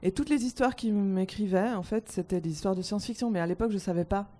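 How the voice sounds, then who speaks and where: A woman on a panel telling a story from her childhood in a lively way, repeating words as she goes. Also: mid-range voice, quick pace. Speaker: panel guest. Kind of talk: personal anecdote.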